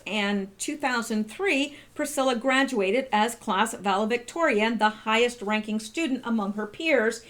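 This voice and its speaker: A woman hosting her channel, reading out posts and commenting in a casual, halting way full of rhetorical questions. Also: a little high. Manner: conversational, many fillers and restarts, rhetorical questions. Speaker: channel host.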